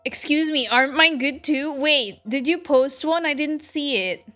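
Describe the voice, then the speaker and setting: Chatty woman streamer, unhurried, music behind her. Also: high-pitched, pushed and bright. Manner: chatty, informal, reacting to chat comments. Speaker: game streamer. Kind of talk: casual livestream chat with viewers.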